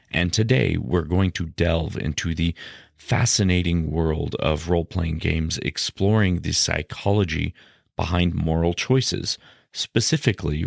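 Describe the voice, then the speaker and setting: A man hosting a podcast, reading scripted educational narration in a measured, explanatory way. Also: rumbling low, gravelly, no echo, studio quality. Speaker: podcast host.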